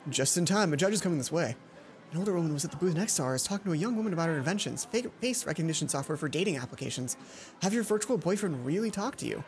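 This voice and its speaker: A woman's voice: on the low side, animated, very fast, a little mellow. A woman hosting a let's play, reading a visual novel aloud and dropping in chatty, playful comments. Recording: music behind the voice.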